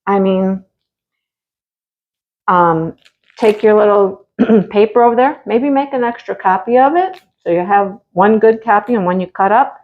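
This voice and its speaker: A woman's voice: mid-range voice, measured pace, long pauses, phone-quality sound. A woman hosting her crafting channel, chatting casually through an unboxing, a little stuffy with a cold and a slight cough. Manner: chatty, homey, talks to viewers directly.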